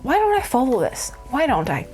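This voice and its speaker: A woman's voice: sits high, huge pitch swings, a little fast, darkish tone, soft background music. A woman from Ukraine chatting casually to camera about her craft projects, rambling with many fillers and restarts. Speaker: craft vlogger.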